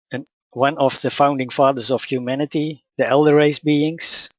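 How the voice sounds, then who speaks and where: Dutch-accented male speaker, mid-pitched, calm and measured; dry, slightly muffled recording. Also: no echo. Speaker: spiritual teacher. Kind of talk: personal account and teaching talk.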